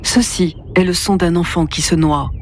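A woman's voice: on the low side, fairly even intonation, normal speed, slight background noise. A woman reading a serious scripted public safety warning. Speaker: public service announcer. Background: music bed.

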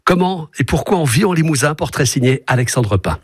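A man hosting a radio show, introducing the next segment in a chatty, lively way. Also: on the high side, a little fast, noisy background. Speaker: radio host.

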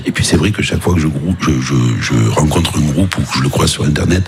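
A man answering casually as a guest in a live outdoor radio interview, halting and often repeating words. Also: a bass voice, music in the background. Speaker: DJ and music teacher, interview guest.

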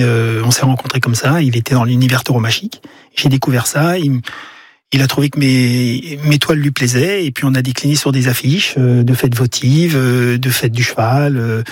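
Un homme d'âge mûr, originaire de Paris, invité d'un studio de radio, parle de son travail de peintre avec beaucoup d'hésitations. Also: male voice, medium pitch, slightly rough, no echo. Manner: hesitant, many fillers and restarts. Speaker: painter and guest. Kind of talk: radio interview answers. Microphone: studio microphone.

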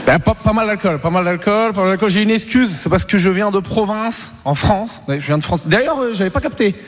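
A man's voice: high-pitched, lively intonation, fairly quick, long reverb tail. A man doing a stand-up routine, wry and crude, with laughing asides. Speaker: stand-up comedian.

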